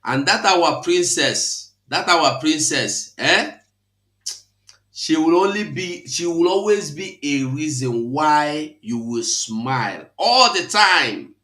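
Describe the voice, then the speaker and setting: A man's voice: fairly high pitch, lively intonation, takes it slowly, sharp tone. Man preaching in an echoey room. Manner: exhorting, quotes scripture, repetitive cadence. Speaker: online broadcast host. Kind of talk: preachy live broadcast talk.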